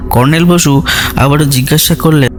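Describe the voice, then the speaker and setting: A man's voice: fairly high pitch, fairly even intonation, moderate pace. A man narrating a scripted detective story in a dramatic storytelling style. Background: background music.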